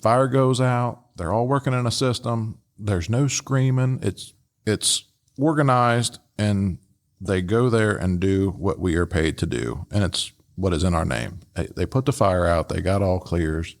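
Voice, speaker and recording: A man with a very deep voice co-hosts a discussion. He reasons things out in a casual, instructive way, repeating short phrases to drive points home. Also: a little slow. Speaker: podcast co-host and fire service trainer.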